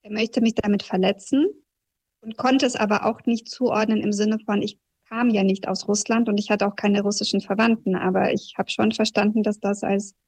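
A woman in her thirties, a journalist from Kazakhstan who grew up in southwest Germany, giving a reflective, measured personal account in an interview. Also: medium pitch, flat delivery, a little mellow, slightly rough. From Kazakhstan, raised in southwest Germany.

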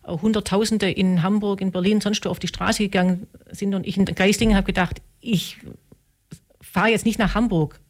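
Woman mid-60s, earnest, middle pitch, quotes what she was thinking. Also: fairly even intonation, moderate pace. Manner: earnest, quotes her own thoughts. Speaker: group member. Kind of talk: casual interview talk.

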